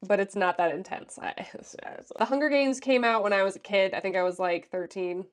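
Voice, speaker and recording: A woman's voice: mid-range voice, normal speed. A woman in her late twenties, stuffed up and congested, giving a chatty, sassy book review with plenty of fillers. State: congested from allergies. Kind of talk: casual book review vlog.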